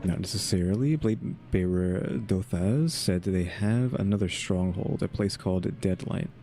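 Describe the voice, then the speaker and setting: Low-voiced man doing casual game commentary. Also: a little slow, warm tone, faint music behind. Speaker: gaming video host.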